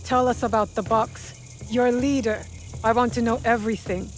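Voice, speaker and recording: A woman's voice: fairly high pitch, steady tone, normal speed, soft background music, lightly acted. An actress playing a protective, commanding young woman warrior in a video game, speaking in a firm, serious way. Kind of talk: cinematic video game acting.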